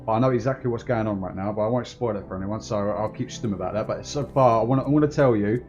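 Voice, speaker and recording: A man's voice: lowish voice, fast talker, a little mellow, muffled recording. A man gives informal commentary as a game streamer. He explains things in a hesitant, casual way with music in the background.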